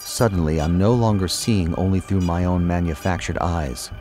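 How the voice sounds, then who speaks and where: An actor playing a brooding cyborg fighter pilot, narrating his war in a solemn, measured, intense way. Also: sits low, music in the background, lightly acted. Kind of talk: dramatic scripted narration, audio drama.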